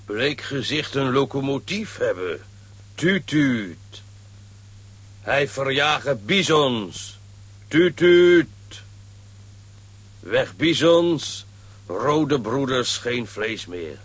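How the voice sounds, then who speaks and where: A stern older chief from a children's radio play. He speaks extremely slowly in terse, grunted broken phrases, with a rough, husky voice. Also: medium pitch, big pitch swings, a bit bright, full character voice. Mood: stern, solemn.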